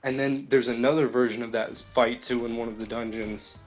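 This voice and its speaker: A man's voice: mid-range voice, steady tone, a little fast, rough recording. Hesitant male caller chatting over music. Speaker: caller to a gaming stream. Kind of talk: casual chat about video games. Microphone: internet voice call.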